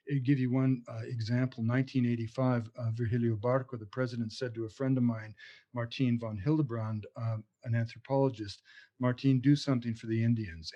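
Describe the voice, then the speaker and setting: A man's voice: mid-range voice, warm tone, highs cut off. A man, an author and anthropologist, answering interview questions in a passionate, discursive way, often restarting his sentences.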